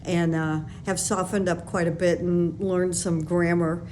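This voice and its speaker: A woman's voice: fairly low pitch, flat delivery, a little slow, darkish tone, a little hiss or hum. An older woman from Chicago's West Side with a Chicago accent, telling her life story on her own podcast in a rambling, conversational way. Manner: conversational and rambling, with frequent fillers and self-corrections. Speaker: podcast host. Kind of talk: personal storytelling monologue.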